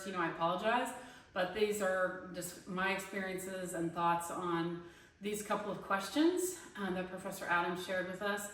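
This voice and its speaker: A woman's voice: mid-range voice, a bit bright, distant mic. A woman in her fifties, a singing teacher and online student, talking casually and thinking aloud with many fillers and restarts about her own voice and teaching. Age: 50s. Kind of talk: casual spoken class discussion post. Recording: very echoey room, away from the mic.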